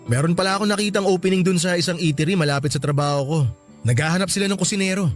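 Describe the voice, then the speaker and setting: A man's voice: sits high, racing, faint music behind, lightly acted. A man playing a mild, helpful young husband in a radio drama, casual and accommodating.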